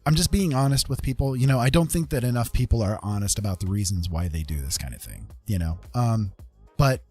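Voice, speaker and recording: Low-voiced man chatting candidly on a stream. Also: lively intonation, a little fast, darkish tone, slightly rough, soft background music. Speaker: livestream host. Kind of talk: casual, candid livestream chat.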